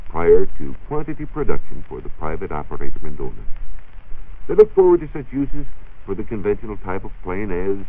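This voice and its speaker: American man, very low animated voice, 1940s radio science reporter, tinny phone-like old recording. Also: fairly quick. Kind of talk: 1940s radio science talk, semi-scripted. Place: radio studio. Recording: muffled, narrow-band 1940s radio recording.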